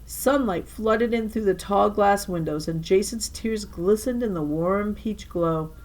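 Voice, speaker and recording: A woman reading a children's novel aloud like a teacher to her class, with animated storytelling and emphatic phrasing. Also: mid-range voice, rough recording. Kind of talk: expressive children's novel narration.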